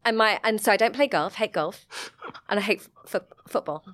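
A middle-aged English woman from Sussex talks in a frank, chatty way, her accent plainly southern English. Her pitch sits at an ordinary middle level, and she laughs easily between her thoughts. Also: fairly quick, a bit bright. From England. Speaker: guest. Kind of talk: casual interview chat.

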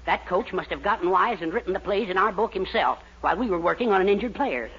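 A man's voice: high voice, lively intonation, quick pace, husky, heavily acted. An actor playing a fretful, timid, henpecked man with a fussy, exclamatory manner in a 1940s radio sitcom. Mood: dismayed. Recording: muffled 1940s radio recording, faint noise.

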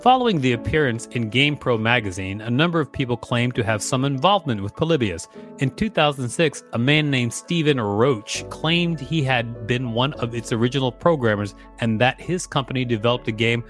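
A man's voice: mid-range voice, moderate pace, fairly bright tone. A man reading an encyclopedia article aloud as a podcast co-host, in scripted narration with the odd casual aside. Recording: music behind the voice. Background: instrumental music.

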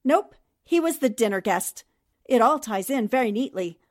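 A woman playing a high-voiced, shrewd newspaperwoman sleuth. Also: animated, normal speed, close to an everyday voice. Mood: confident, methodical. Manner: precise, methodical explaining. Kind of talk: 1940s radio mystery acting.

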